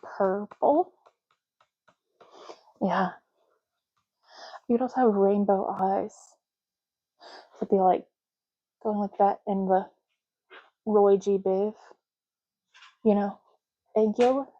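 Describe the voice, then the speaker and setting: A woman doing a soft-spoken ASMR roleplay as a clerk, explaining options in a casual, chatty way. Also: medium pitch, fairly even intonation, slow, pauses often, muffled recording. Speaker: ASMR creator playing a clerk making ID cards.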